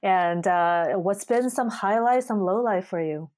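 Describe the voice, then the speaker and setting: A woman in her thirties from China, co-hosting a casual podcast, talking thoughtfully with frequent pauses and restarts. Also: mid-range voice, darkish tone, dry recording. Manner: thoughtful, many fillers and restarts. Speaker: podcast co-host.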